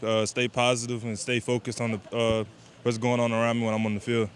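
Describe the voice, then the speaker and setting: A man's voice: monotone, fairly quick. Young man, noisy outdoors. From Georgia, USA. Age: young adult. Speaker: college football player. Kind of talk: interview answers. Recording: noisy outdoor recording, traffic rumble.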